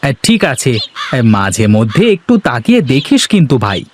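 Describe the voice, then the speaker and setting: A man's voice: medium pitch, huge pitch swings, moderate pace, noisy background. A man voicing a somewhat unsure village farmer and neighbour in a cartoon, chatting in a chummy, informal way.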